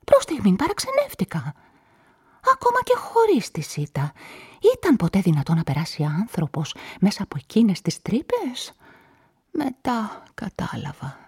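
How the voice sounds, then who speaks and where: An actress narrating as a woman suspected in a crime, in a hushed, whispery inner-monologue manner, in a radio play with a music bed. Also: mid-range voice, wildly swooping pitch, husky, dry recording, studio quality, heavily acted. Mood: anxious. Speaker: narrator and witness.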